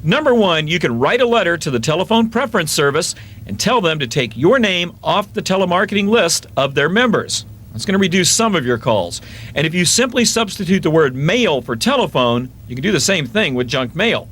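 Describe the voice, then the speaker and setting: A man's voice: on the high side, sing-song, fairly quick, fairly bright tone, slight background noise. A man with an American accent narrating a scripted consumer report for television news.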